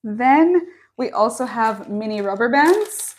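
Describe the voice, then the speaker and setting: A woman's voice: a little high, expressive, takes it slowly. Chatty young woman hosting a live lesson. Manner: chatty, upbeat, reads chat comments aloud. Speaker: online coordinator and live-stream host. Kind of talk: friendly live-stream introduction and craft tutorial. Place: small office at a bamboo factory.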